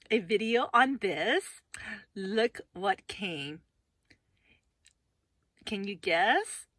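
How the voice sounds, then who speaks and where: Woman, hoarse voice, bright forceful tone, casual rambling chatter, home vlog on a phone. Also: medium pitch, dry recording. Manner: chatty, rambling with many fillers and restarts. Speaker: vlogger. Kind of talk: casual unboxing chat.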